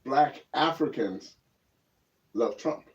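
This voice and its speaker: A man's voice: medium pitch, expressive, slow pace. A man co-hosting a political talk show, giving casual, emphatic and opinionated commentary in a rambling way, often repeating words. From born in Germany. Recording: muffled lo-fi audio, distant microphone.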